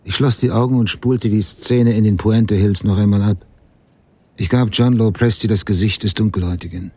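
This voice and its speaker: A man's voice: fairly low pitch, steady tone, normal speed, warm tone, husky. An actor narrating a German radio drama in the first person as a grim male crime writer, sober and terse, investigating his mother's murder.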